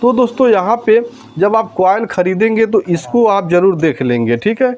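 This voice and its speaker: A man's voice: high voice, expressive, moderate pace, a little mellow, lo-fi sound, slight background noise. A man giving a casual, hands-on repair tutorial, explaining step by step and speaking directly to viewers. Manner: conversational, step-by-step, often addressing viewers directly. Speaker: electronics repair tutorial presenter.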